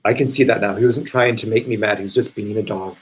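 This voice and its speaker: A man playing a remorseful, rambling spiritual seeker in a live stage play reading, halting and conversational in delivery. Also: fairly low pitch, steady tone, lightly acted, poor audio. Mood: apologetic, subdued.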